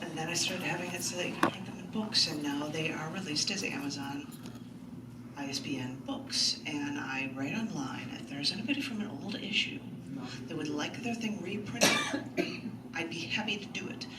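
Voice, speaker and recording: A woman at a live poetry open mic, reading and talking informally to listeners in a halting way. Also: low voice. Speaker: poet hosting an open mic. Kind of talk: live poetry reading with casual asides to the audience. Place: indoors in a large bookstore, live event. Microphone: picked up from a distance. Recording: roomy, distant, noisy live recording.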